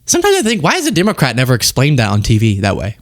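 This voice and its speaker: A man hosting a political show, talking off the cuff in an animated, sarcastic and self-mocking way. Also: medium pitch, rapid-fire. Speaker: political commentary show host. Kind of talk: casual off-the-cuff political commentary.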